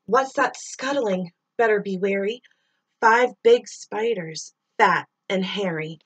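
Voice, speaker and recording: A middle-aged woman doing an expressive read-aloud of a rhyming children's story, drawing out words and sound effects like a teacher reading to pupils. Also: medium pitch, slow pace, slightly rough.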